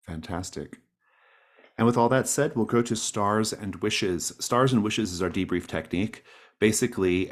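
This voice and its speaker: Low-voiced man hosting a game. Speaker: game master, host. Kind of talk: casual explaining and debrief of a tabletop game.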